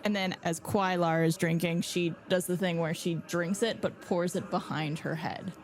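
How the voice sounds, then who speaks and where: A woman playing a tabletop game, chatting with animated, laughing banter. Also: on the low side, moderate pace. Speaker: player. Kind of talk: casual tabletop game chat.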